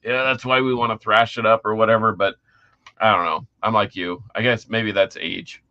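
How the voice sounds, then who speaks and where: Middle-aged male podcast co-host, bright edge to a low voice, informal and keen, lo-fi sound. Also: steady tone, normal speed. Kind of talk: casual music chat.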